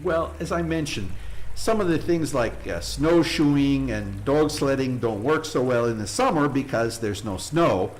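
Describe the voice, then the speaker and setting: Casting: male actor as boastful, cheerful tour guide, Latin American Spanish accent, very lively pitch, earnest and halting, mockumentary comedy. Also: slight background noise, close to an everyday voice, poor audio. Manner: earnest, halting, searching for words. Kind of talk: comic mockumentary interview.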